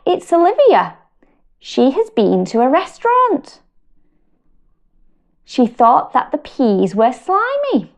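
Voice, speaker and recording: A British woman reading a picture book aloud to children in a gentle, expressive way, rising high on her questions. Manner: slow, gentle and expressive, with big pitch lifts on questions. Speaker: storyteller and wellbeing educator.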